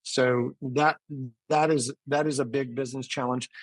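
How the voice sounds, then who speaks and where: A man's voice: mid-range voice, steady tone, moderate pace, no echo, poor audio. A man giving explanatory, conversational answers as an interview guest, often restarting his phrases. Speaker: interview guest, physician and business founder.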